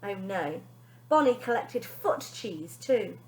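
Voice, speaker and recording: Animated woman reading a children's story. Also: mid-range voice, slow pace. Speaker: teacher. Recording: echoey room.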